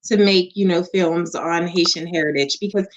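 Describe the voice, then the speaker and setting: A woman's voice: lowish voice, fairly even intonation, normal speed. A woman hosting an interview, affirming and encouraging her guest, her audio slightly muffled. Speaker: interview host. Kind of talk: casual interview.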